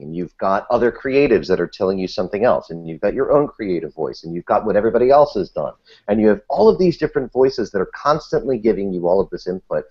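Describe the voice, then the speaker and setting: A man's voice: fairly low pitch, darkish tone, breathy edge, highs cut off. A man talking animatedly and self-deprecatingly as a guest in a casual panel discussion. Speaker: podcast guest.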